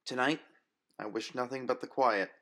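A man narrating first-person fiction as an audiobook reader, with a measured, wistful, literary delivery. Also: mid-range voice, steady tone, fairly bright tone.

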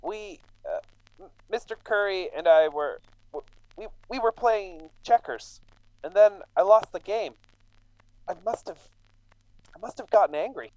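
Radio drama role, male actor: injured immigrant farmhand, remorseful and faltering, very changeable pitch, dry recording. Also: lively intonation, a little slow, pauses often, a little mellow, no room sound, rough recording. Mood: confused, ashamed. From England. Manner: faltering, stammering.

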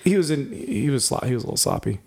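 A man's voice: mid-range voice, sing-song, quick pace, creaky, darkish tone. Sarcastic dude reading stuff off his phone, dry jokes, mid voice. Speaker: podcast co-host, band member. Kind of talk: casual chat, reading listings aloud.

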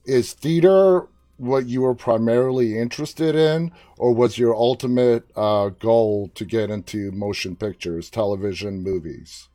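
A man's voice: medium pitch, unhurried, warm tone, no echo, faint music behind. Friendly New York man, dry sound. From Queens, New York. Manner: friendly, enthusiastic questions. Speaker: interviewer. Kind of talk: interview chat.

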